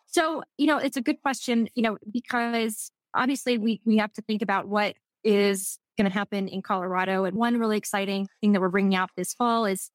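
A woman's voice: mid-range voice, fairly even intonation, fairly quick, hardly any pauses, no room sound. Texan woman guest, earnest and thoughtful, dry sound. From Texas. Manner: thoughtful, earnest, some restarts. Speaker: guest, food manufacturing executive. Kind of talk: casual interview chat.

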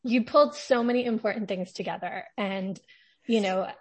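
A woman in her forties, a journalist hosting an interview podcast in a calm, lowered radio voice. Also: normal speed. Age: 40s.

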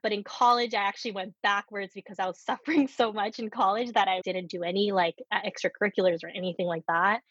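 Candid woman with a slightly husky voice. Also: medium pitch, steady tone, fast talker, few pauses, a bit bright, no room sound, muffled recording. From United States. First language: Korean. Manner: candid, laughs often while telling stories. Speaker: startup co-founder guest. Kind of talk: casual interview conversation.

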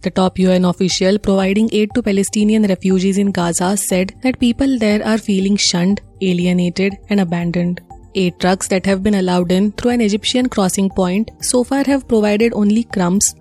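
A woman's voice: medium pitch, steady tone, moderate pace, mellow, soft background music. A woman with an Indian accent presents a scripted news bulletin.

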